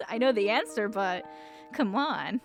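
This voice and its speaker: A woman's voice: mid-range voice, expressive, slow, vocal fry, faint music behind. A woman doing casual, giggly, reactive commentary while she plays a game and reads it aloud. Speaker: streamer playing a visual novel.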